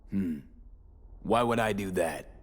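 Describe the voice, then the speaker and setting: Gruff, low, wary mercenary. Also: male voice, slow, no echo. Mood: wary, weary. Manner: casual, clipped, sceptical. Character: gruff, wary young male street mercenary. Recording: heavy background noise, game ambience.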